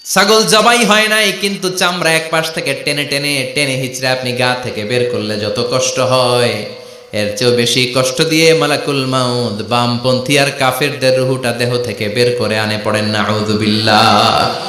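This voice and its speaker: A man's voice: medium pitch, steady tone, moderate pace. A man preaching an Islamic sermon in a declamatory, rising and falling cadence, chanting quotations and calling on listeners to respond. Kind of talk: fervent Bengali-style waz sermon with chanted Arabic quotations. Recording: echoing loudspeaker sermon sound.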